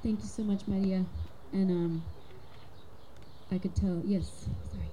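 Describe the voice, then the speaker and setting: A woman's voice: mid-range voice, fairly even intonation, a little slow, mellow, slight background noise. A woman facilitating a community panel, thanking speakers and introducing the next guest, with frequent fillers.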